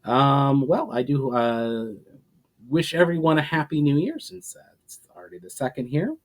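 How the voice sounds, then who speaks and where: A man's voice: mid-range voice, very animated, moderate pace. A man presenting his own fantasy fiction as author and storyteller. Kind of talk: dramatic scripted narration, casual sign-off.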